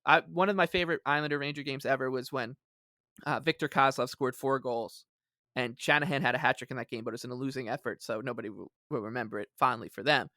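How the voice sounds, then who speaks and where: Man, podcast co-host, forceful tone, close dry sound, casual sports talk, emphatic sarcastic ranting. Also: mid-range voice, steady tone, fast, no echo.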